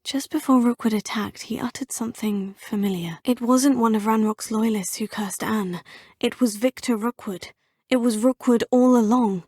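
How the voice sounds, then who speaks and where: A woman's voice: on the high side, moderate pace, darkish tone, husky, no echo. An actress voicing a polite, composed young female student witch with a British accent, speaking game dialogue close to a studio mic. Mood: earnest. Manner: polite, proper and well-spoken. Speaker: player character, student. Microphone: close studio mic, dry.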